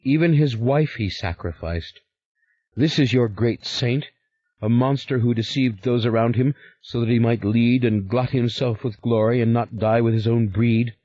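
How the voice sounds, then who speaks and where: A man's voice: medium pitch, expressive, moderate pace, warm tone, no echo, voice pushed up, natural voice. A man voicing a bitter, fierce young woman who accuses her brother with impassioned scorn. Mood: accusing. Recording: slightly muffled recording.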